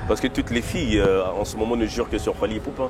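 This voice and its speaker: A man's voice: on the low side, fast. A man interviewing a singer, asking friendly questions and prompting with short murmurs. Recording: outdoor street noise behind.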